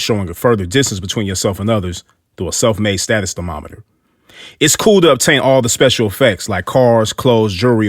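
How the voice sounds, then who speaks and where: A man's voice: lowish voice, no room sound, clean studio sound. A man narrating a scripted motivational story in an earnest way, with hip-hop street slang.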